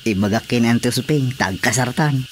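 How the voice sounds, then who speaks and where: A woman's voice: extremely low pitch, normal speed, a little hiss or hum. A woman playing an earnest, romantic male village suitor in a Filipino radio drama comedy, pleading sincerely with an Ilocano accent.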